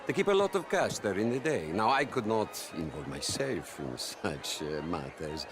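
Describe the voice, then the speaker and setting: An actor playing a smug, volatile Italian crime boss in a video game, scornful and theatrical, with an Italian accent. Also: male voice, deep voice, lively intonation, moderate pace. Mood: expansive, sly. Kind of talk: video game cinematic acting.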